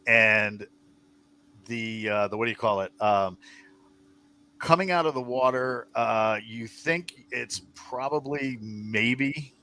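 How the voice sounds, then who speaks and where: A man with a bright, forceful voice at an average pitch runs a tabletop role-playing game. He describes scenes off the cuff, pausing to find his words and throwing in vivid detail. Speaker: game master. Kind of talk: improvised tabletop game narration.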